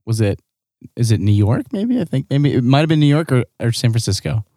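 A man's voice: medium pitch, big pitch swings, a little fast, mellow, no echo, clean studio sound. A young man co-hosting a podcast, chatting casually with teasing banter. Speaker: podcast co-host.

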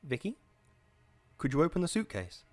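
A man's voice: a little high, sing-song, moderate pace, no echo, voice pushed up. A nervous young husband, as a man reads him, asking tense questions in a near-everyday voice, slightly raised. The sound is dry and close. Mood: uneasy. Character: uneasy young husband on a drive.

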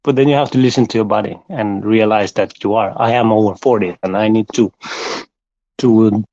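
Gravelly man in his forties, lo-fi line. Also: fairly low pitch, normal speed, dry recording. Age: 40s. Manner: calm, plain-spoken, repeats words as he thinks. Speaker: podcast guest. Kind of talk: casual chat.

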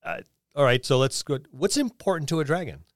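A man's voice: fairly high pitch, expressive, fairly quick, no echo, studio quality. A man co-hosting a podcast, talking casually and conversationally about tabletop roleplaying. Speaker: podcast co-host.

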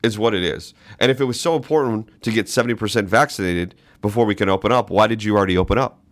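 A man's voice: on the low side, fast talker. A man hosting a podcast, giving political commentary in an emphatic way and repeating phrases for stress. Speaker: podcast host.

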